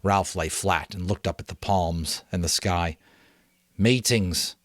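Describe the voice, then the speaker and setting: A middle-aged man giving a dramatic, expressive amateur audiobook reading of a novel. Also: deep voice, measured pace, no echo, clean studio sound. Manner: expressive, theatrical storytelling. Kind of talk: dramatic audiobook-style narration.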